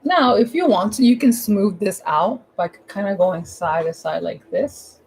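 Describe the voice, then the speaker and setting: A woman with a hoarse, husky voice giving a casual, chatty step-by-step painting tutorial at home, repeating her instructions in informal language. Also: medium pitch, animated, unhurried, a little mellow.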